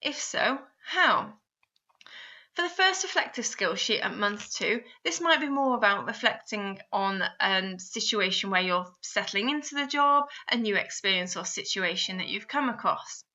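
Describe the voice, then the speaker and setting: Woman, instructional voice-over, very bright tone, direct address to the listener, guiding questions, slightly muffled lo-fi recording. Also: medium pitch, lively intonation, normal speed. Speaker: instructor narrating a guidance video.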